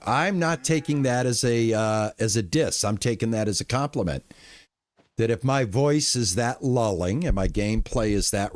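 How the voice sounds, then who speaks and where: A middle-aged man streaming a farming game, talking easily to his chat in a calm, lulling voice. His pitch rises and falls a lot as he reacts to the game. The sound is close and dry, with no room echo. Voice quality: lulling, relaxing voice. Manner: relaxed, chatty, talks to chat. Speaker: gaming streamer. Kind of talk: live gameplay commentary.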